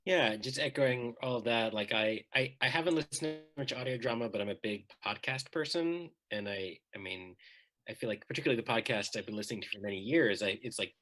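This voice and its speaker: A man's voice: medium pitch, fairly quick, fairly bright tone. A man who writes plays, chatting casually as a panel guest, eager, often restarting his sentences in choppy bursts. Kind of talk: casual unscripted panel chat.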